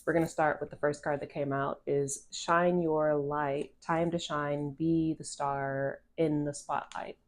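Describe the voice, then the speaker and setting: A woman's voice: deep voice, little pitch movement, measured pace, hardly any pauses. A woman with a stuffy, nasal voice from allergies, giving a casual channeled tarot card reading to a phone camera, rambling with restarts and a lot of "like". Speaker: tarot reader.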